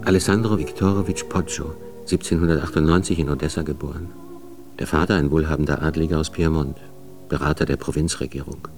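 A man narrating biographical facts in a radio drama, in a factual, measured way. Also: a bass voice, a little mellow.

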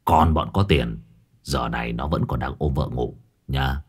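Brief: cynical crude slacker, low mumbling growl, as a storyteller puts him on. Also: male voice, measured pace, full character voice. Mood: scornful. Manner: gruff, crude, mocking. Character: lazy gruff young male sidekick, crude and cynical.

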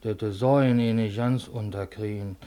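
An actor playing a meek, forgetful, tipsy village bailiff in a radio play, halting and plodding in his speech. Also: lowish voice, steady tone, dark tone, lightly acted. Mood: glum, tipsy. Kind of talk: stage-comedy radio play acting in dialect.

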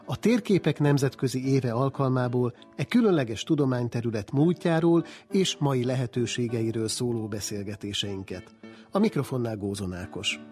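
Male radio presenter, very soft dark tone, scripted interview-show delivery over music. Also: medium pitch. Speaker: radio programme host and interviewer.

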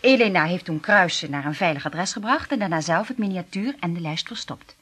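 Husky-voiced, earnest woman secret agent. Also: lowish voice, lively intonation, a little fast, a bit bright. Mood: grave, recounting. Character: female secret agent princess, earnest and conflicted. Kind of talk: Dutch radio play acting.

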